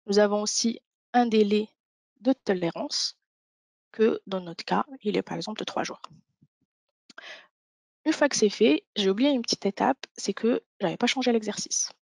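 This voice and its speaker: Female webinar presenter, mid-pitched with noticeable creak, methodical explanatory delivery, very dry close sound. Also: moderate pace, pauses often, darkish tone, no echo. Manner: step-by-step explanatory. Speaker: software demo presenter. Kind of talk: instructional webinar walkthrough.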